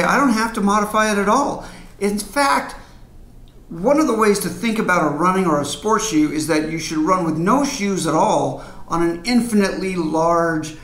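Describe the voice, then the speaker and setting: Guy in his 50s, voice a bit on the high side, casually showing stuff on camera, roomy echoey sound. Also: fairly bright tone. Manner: informal, hands-on explaining. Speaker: video presenter. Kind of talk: casual explainer to camera, demonstrating items. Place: medium-sized home office, indoors at a desk. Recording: echoey indoor room.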